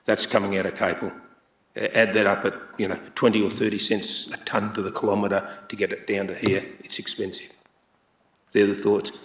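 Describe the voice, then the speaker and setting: A man speaking as a councillor at a council meeting, plain-spoken and halting, often repeating his words. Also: lowish voice, flat delivery. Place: council chamber. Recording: echoey council chamber.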